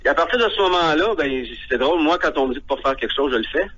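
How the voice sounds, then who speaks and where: A man's voice: fairly high pitch, expressive, racing, a bit bright, husky, dull audio. A man from Ontario, a guest on a radio phone interview who talks hesitantly, with frequent fillers.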